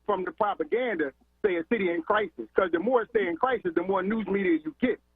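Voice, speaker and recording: Impassioned high-voiced man calling on the phone. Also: quick pace, husky, no echo. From Philadelphia. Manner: impassioned, repeats words, rhetorical questions. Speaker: caller. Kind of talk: call-in radio rant. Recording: tinny phone line.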